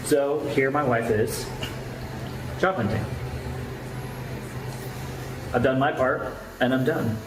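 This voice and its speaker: A man's voice: medium pitch, slow, pauses often. A man speaks candidly and personally to his stream audience. The sound is echoey and roomy, with noticeable noise behind him. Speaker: YouTuber streamer. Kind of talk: candid personal monologue to viewers. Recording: loud-enough roomy echo with background noise.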